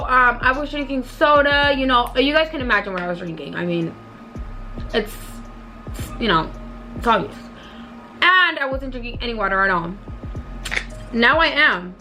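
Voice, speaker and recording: A hoarse young woman who has partly lost her voice tells a rambling, chatty storytime to her viewers as a beauty vlogger. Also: fairly high pitch, sharp tone. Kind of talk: casual storytime vlog.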